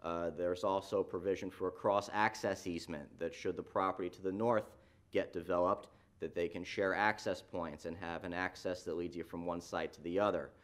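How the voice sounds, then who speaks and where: Municipal planner, low voice, measured explanatory delivery, lots of "uh"s and restarts. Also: male voice, fairly even intonation. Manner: explanatory, frequent fillers and restarts. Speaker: city planning staff presenter. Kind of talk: staff report at a public meeting. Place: council chamber.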